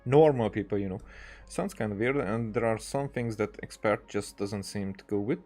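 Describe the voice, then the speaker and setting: A man giving casual, rambling gameplay commentary as a streamer, halting and often repeating his words. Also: on the low side, a little mellow. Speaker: game streamer. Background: game music.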